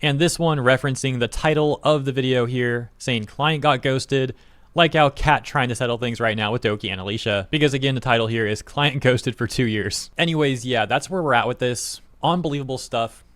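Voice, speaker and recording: A young man talks through online drama for a reaction channel in a blunt, slightly sarcastic tone. His voice sits at an ordinary middle pitch, and the sound is dry and close with little room around it. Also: a little fast, a bit bright, no room sound. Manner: blunt, exasperated, mildly sarcastic. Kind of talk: casual commentary, reading comments aloud.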